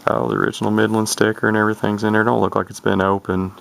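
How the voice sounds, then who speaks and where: A deep-voiced man in his thirties talks off the cuff as he shows something to a handheld camera. His voice has a gravelly fry, and he rambles in a folksy way, thinking aloud. There is faint noise in the homemade recording. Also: little pitch movement, fast talker. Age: 30s. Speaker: vintage CB radio collector showing an unboxing. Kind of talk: casual unscripted product commentary. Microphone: handheld camera's built-in microphone.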